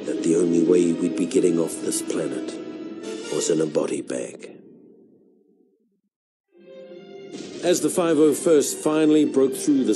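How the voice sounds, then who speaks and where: An actor playing a world-weary veteran clone soldier, narrating his war memories in a measured, solemn way for a video game. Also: sits low. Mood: grim, resolute. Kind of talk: scripted narration, military memoir.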